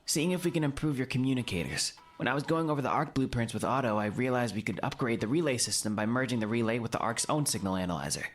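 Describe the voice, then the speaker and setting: A man voicing a clever, self-assured inventor in an audiobook, talking in a brisk, boastful, explanatory way. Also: medium pitch, animated, pitched above the performer's own voice, lightly acted. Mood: matter-of-fact.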